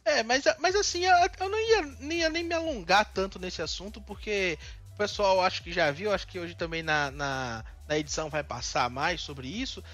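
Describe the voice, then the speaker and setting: A man's voice: sits high, moderate pace, fairly bright tone, soft background music. Talkative man. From Northeast Brazil. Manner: talkative, many restarts, indignant opinions. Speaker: co-host. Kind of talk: casual commentary chat.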